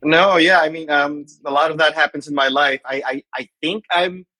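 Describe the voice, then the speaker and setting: Bright-voiced man from the Philippines. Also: fairly high pitch, steady tone, normal speed. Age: 40s. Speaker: podcast guest. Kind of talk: casual chat.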